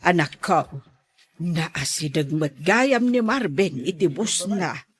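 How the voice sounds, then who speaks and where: A woman's voice: lowish voice, expressive, takes it slowly, husky, dry recording, heavily acted. A woman acting a doting older mother, gossipy and watchful, in an Ilocano-accented radio drama. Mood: advising.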